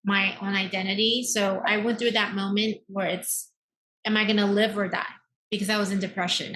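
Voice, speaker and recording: A woman's voice: mid-range voice, pushed and bright, low-quality recording. A woman of thirty-nine, raised in Taiwan, telling her personal story earnestly and emphatically as an interview guest. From born in America, raised in Taiwan. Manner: earnest, emphatic, uses rhetorical tags like "right?". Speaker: podcast guest, mindset coach.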